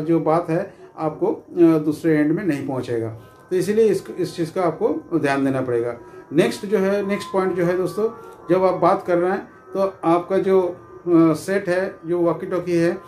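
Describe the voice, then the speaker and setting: A man's voice: high voice, mellow. A middle-aged man giving an informal instructional talk to camera in a repetitive, explanatory way, with frequent filler phrases. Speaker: presenter of security training videos. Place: small indoor room with curtains. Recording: echoey room with faint background noise.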